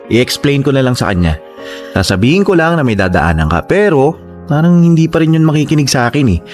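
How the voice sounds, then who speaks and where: A man's voice: medium pitch, lightly acted. An actor playing a lovesick, insistent young man in a Filipino radio drama, pleading and teasing in a dramatic way. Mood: coaxing. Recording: music under the voice.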